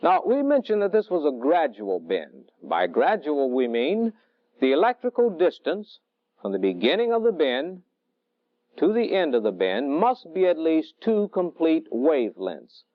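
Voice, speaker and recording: Male technical instructor, middle-aged, fairly high voice with varied intonation, measured teaching delivery, old training-film sound. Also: huge pitch swings, dry recording. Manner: deliberate, didactic, measured phrasing. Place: indoor training film studio. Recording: dull, dry vintage film soundtrack.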